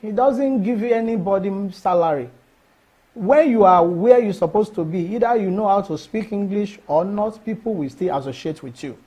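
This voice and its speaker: A man's voice: high-pitched, moderate pace, dark tone, husky. A middle-aged Nigerian man whose first language is Yoruba, answering interview questions earnestly and emphatically, often restarting phrases. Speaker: cultural festival organizer.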